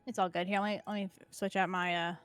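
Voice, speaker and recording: A woman's voice: medium pitch, level tone, quick pace. Easygoing woman gaming casually. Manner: informal, easygoing, slangy. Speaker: co-op gaming partner. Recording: faint game music behind. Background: game music.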